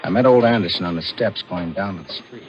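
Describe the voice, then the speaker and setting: A man's voice: deep voice, fast, natural voice. An American actor playing a scheming ex-convict forger who tells his own crime in hardboiled 1940s radio thriller style. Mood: grim, brooding. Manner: hardboiled first-person narration and dialogue. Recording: muffled vintage radio recording with music.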